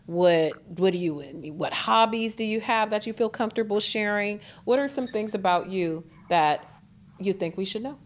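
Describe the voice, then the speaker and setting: A woman co-hosting a boxing podcast, lively and playful with colloquial phrasing. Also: mid-range voice, moderate pace. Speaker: podcast co-host. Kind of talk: podcast interview.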